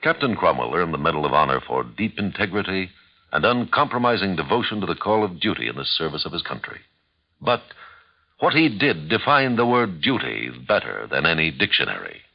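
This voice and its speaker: A man's voice: low-pitched, very animated, a little fast, creaky. A man reading a solemn scripted announcement as a radio announcer on a dull old recording.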